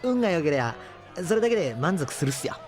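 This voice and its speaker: A man's voice: a little high, very animated, fast, over music, a crowd in the background. An actor playing a cheeky, teasing junior crewman in an audio drama, breezy and slangy. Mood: amused. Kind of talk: Japanese audio drama acting.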